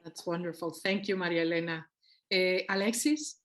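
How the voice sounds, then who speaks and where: A woman's voice: fairly low pitch, moderate pace, fairly bright tone. A woman moderates a panel over a video call, her voice in a middle range. She rambles a little and keeps things chatty as she hands over to speakers.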